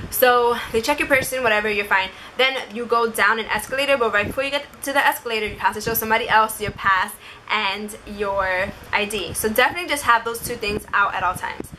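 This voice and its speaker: A woman's voice: on the high side, sharp tone, a little hiss or hum, rough recording. A young woman telling a story and giving advice in a casual, chatty vlog style, saying "like" constantly. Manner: chatty, informal, uses "like" constantly. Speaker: vlogger.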